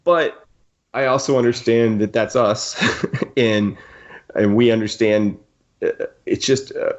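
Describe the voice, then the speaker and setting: A man's voice: medium pitch, steady tone, unhurried, muffled recording. A man co-hosting a podcast in a casual fan discussion, rambling and interrupting himself as he goes. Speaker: podcast co-host.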